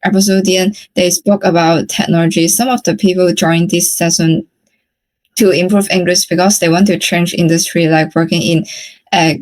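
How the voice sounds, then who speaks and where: A woman's voice: fairly low pitch, fairly even intonation, normal speed, darkish tone. Hesitant woman with a Hong Kong accent. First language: Cantonese. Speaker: English conversation practice participant. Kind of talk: casual chat.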